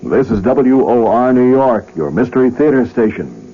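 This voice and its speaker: A man's voice: medium pitch, lively intonation, moderate pace. A man announcing commercials and prices on the radio. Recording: dull old radio broadcast.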